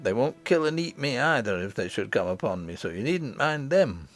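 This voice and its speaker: A man's voice: mid-range voice, big pitch swings, moderate pace, faint music behind, close to an everyday voice. A man voicing a determined young miner boy in a storybook, eager and confident. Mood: eager, resolute.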